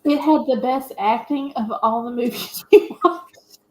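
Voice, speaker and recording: Female panelist, fairly high voice, roomy echoing sound, halting casual delivery. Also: a little hiss or hum. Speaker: film review panelist. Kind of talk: casual chat.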